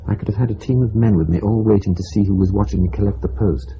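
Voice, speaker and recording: An actor playing a seasoned, middle-aged British intelligence agent in a radio drama, dry, urbane and understated. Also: male voice, lowish voice, steady tone, fast talker, lightly acted. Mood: dry, unruffled. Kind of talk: radio spy drama acting. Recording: dull, hissy radio drama recording.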